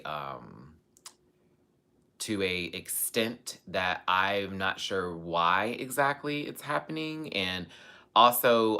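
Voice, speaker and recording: A man's voice: deep voice, pushed and bright, smooth. A man chatting casually on a livestream as host, venting to viewers in an emphatic, sassy, colloquial way and repeating phrases for stress.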